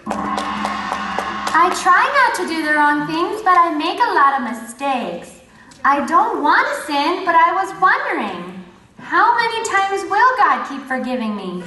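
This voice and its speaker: A woman's voice: extremely high pitch, very animated, a little slow, bright, forceful tone, soft background music, full character voice. A woman playing an earnest, worried young girl asking a question in a scripted children's puppet show. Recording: echoey, distant playback of prerecorded CD.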